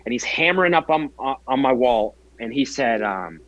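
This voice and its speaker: A man's voice: medium pitch, animated, moderate pace, fairly bright tone, muffled recording. A man in his twenties, a guest athlete telling his story in an earnest, reflective way during a casual interview. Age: 20s.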